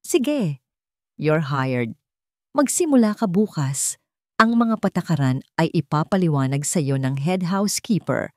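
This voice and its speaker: Icy grieving rich father, low and clipped, dry close read, a woman voicing him. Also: animated, measured pace, dark tone, no echo. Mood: cold, curt. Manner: cold, emotionless, clipped. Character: cold, grieving wealthy widowed father.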